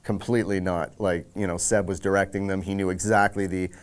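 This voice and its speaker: Low, smooth-voiced man reflecting. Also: level tone, fairly quick. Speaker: senior audio director at a game studio. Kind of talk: reflective making-of interview.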